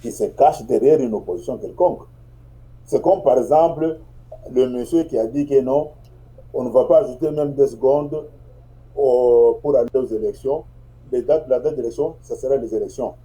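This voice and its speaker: Brief: male guest, very dark mellow tone, patient explainer. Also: medium pitch, moderate pace, poor audio. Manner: explanatory, repeats phrases, checks in with "tu comprends?". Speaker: guest commentator. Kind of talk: political talk show discussion.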